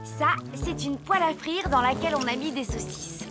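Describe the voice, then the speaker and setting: A woman voices a practical little girl in a children's cartoon. Her high voice is plain-spoken and patiently corrective, with clear music behind it. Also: normal speed, natural voice. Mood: sensible, explanatory. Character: sensible, matter-of-fact young girl, cartoon inventor's daughter.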